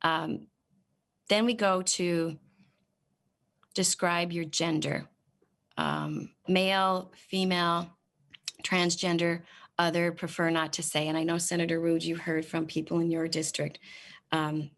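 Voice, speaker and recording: A woman's voice: lowish voice, little pitch movement, fairly bright tone. Exasperated woman testifying at a hearing. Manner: exasperated, sarcastic questioning, halting with many fillers. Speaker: state senator at a committee hearing. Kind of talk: committee testimony, reading off a website form. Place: legislative hearing room.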